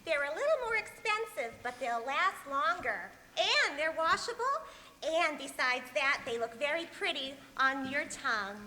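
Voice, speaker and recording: A scatterbrained radio-comedy wife with an extremely high, bright voice. She chatters along innocently and cheerfully. The stage sound rings in an echoey hall. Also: animated, normal speed. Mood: breezy, chatty. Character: cheerful scatterbrained young wife, comic ditz.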